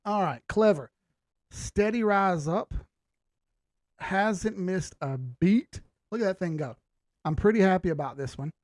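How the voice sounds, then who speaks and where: A man's voice: sits high, animated, unhurried, no room sound, studio quality. A man hosting a live stream, giving casual commentary and thinking aloud in slangy, informal language. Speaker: host of a live market analysis stream.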